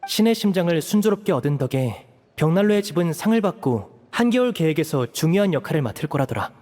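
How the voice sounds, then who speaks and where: A man voicing a composed, protective young elder brother in an anime-style game, explaining things in a measured, serious way. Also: fairly high pitch, sing-song, a little mellow. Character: composed young magician, protective eldest brother, earnest strategist.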